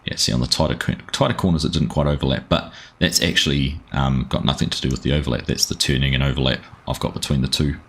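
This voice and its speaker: A New Zealand man with a very deep, husky voice hosts a relaxed gaming livestream. He rambles easily between the game and his chat, greeting viewers by name. His talk is loose and unhurried, with plenty of fillers. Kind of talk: casual live-stream chat while playing.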